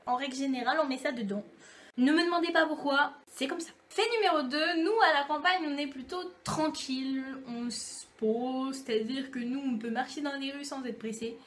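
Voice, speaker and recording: A woman's voice: sits high, moderate pace, fairly bright tone. A young French woman talking to camera in a chatty, playful vlog, acting out little dialogues as she goes. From France. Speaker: YouTube vlogger. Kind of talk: casual comic monologue to camera.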